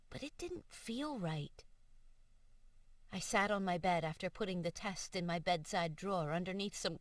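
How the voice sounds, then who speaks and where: Slow, dry-sounding woman narrating fiction. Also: mid-range voice, fairly bright tone, no echo. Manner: emotive, measured, deliberate delivery. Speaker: audiobook narrator. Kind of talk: expressive first-person fiction narration. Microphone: studio voice-over microphone.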